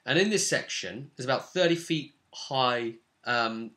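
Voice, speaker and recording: A man's voice: mid-range voice, animated, a little slow, bright, forceful tone. A man casually narrating a tabletop role-playing session as its game master. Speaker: game master recapping his own tabletop campaign.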